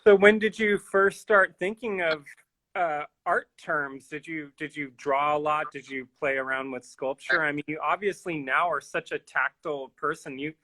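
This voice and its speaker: Bright-voiced dry-sounding male interviewer. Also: a little high, fairly even intonation, normal speed, no room sound, poor audio. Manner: friendly, rambling questions with many backchannels. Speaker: curator, interviewer. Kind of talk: conversational interview.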